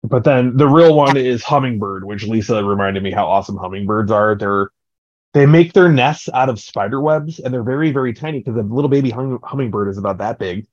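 A man's voice: mid-range voice, fairly quick, darkish tone, no room sound. A man with a mid-range voice, trading playful jokes as a podcast co-host. His sound is a little dull and lo-fi. It is also dry and close. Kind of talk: casual chat. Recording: slightly dull, lo-fi dry recording.

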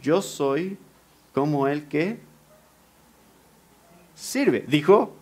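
Mexican pastor guy preaching, lively, keeps asking the crowd stuff, bit high voiced. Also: very slow. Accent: Mexican Spanish. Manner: lively preaching, asks the audience questions. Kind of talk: sermon.

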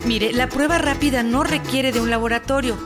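A woman's voice: fairly high pitch, brisk, lightly acted. A woman with a Mexican accent playing a courteous, formal health helpline operator in a scripted radio spot. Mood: polite, informative. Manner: formal, explanatory, polite. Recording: music bed behind the voice.

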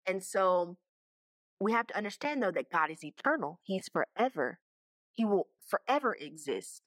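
A woman's voice: on the low side, fairly bright tone, no room sound. A woman giving a casual Bible teaching, talking conversationally and checking in with her listeners. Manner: conversational, keeps checking in with "okay?" and "right?".

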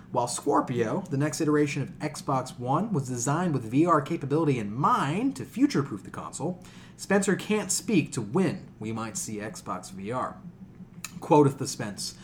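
Animated, crude-joking man on a podcast. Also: fairly high pitch, a little hiss or hum. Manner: jokey, crude, slangy. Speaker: podcast co-host. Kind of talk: casual, profane gaming podcast banter.

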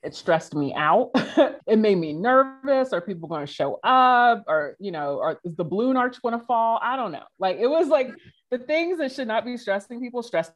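A woman in her forties, a podcast guest answering questions in a lively, conversational way with frequent laughs. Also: fairly high pitch, moderate pace. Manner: animated, laughs while telling stories.

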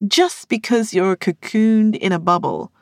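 A woman voicing a sharp, teasing female friend in an audiobook, full of retorts and mock innocence. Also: mid-range voice, animated, a little slow, creaky, no echo, clean studio sound, voice pushed up.

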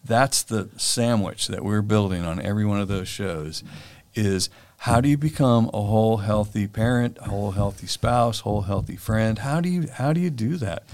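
Low-voiced earnest male guest. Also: moderate pace, a little mellow, no echo. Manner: earnest, reflective, uses fillers. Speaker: podcast guest, author. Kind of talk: conversational interview.

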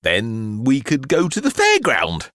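A man's voice: medium pitch, wildly swooping pitch, unhurried, a bit bright, dry recording, studio quality. A man voicing a jolly but long-suffering mother in a storybook, coaxing and effusive. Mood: nervously cheerful.

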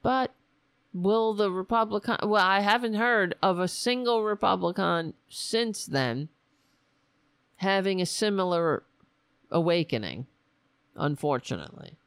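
A hoarse woman hosting her own political talk show, drawling slowly with a gravelly edge to her voice. Her pitch swings widely as she rambles and jabs sarcastically. The sound is close and dry. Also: expressive, dry recording. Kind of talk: casual political commentary.

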